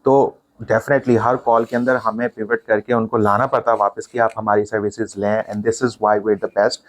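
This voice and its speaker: Pakistani man, business owner, lowish voice, easygoing explainer, switches into English phrases. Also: little pitch movement, moderate pace, rough recording. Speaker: call center business owner. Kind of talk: interview answers.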